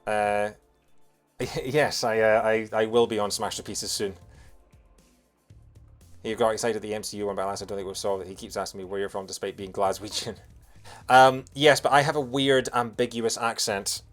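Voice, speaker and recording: A man from Lanarkshire with a soft Scottish accent, doing casual, jokey live gameplay commentary as a streamer. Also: fairly low pitch, moderate pace. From Bothwell, Lanarkshire, Scotland. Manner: jokey, punning, animated when reacting to the game. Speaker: video game streamer. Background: game music.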